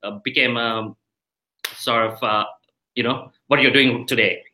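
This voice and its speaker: Very bright-voiced man hosting, hesitant. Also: medium pitch, fairly even intonation, unhurried. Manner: hesitant, many fillers. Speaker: show host. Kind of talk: hosting a live online Q&A.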